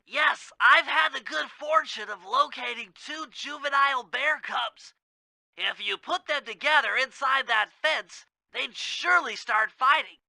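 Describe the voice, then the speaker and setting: A man's voice: very high-pitched, measured pace, creaky, pushed and bright, no room sound, full character voice, poor audio. A man voicing a brainy, anxious animal gadget expert in a cartoon video game, nasal and precise in formal technical phrasing. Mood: explaining, clever.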